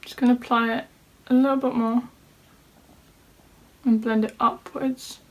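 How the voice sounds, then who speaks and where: A woman's voice: on the high side, little pitch movement, measured pace, rough recording. A woman vlogger walking through a makeup tutorial in a casual chat, sounding slightly nasal from a cold. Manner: chatty, talks through each step. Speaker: beauty vlogger. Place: home room at a desk.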